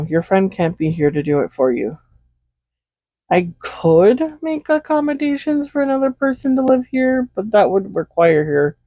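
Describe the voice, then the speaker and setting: A man playing a smug, possessive villain who dotes on a captive hero in an intimate audio roleplay, soft-spoken, unhurried and condescending. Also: high-pitched, no echo, narrow, tinny audio. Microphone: close USB condenser microphone.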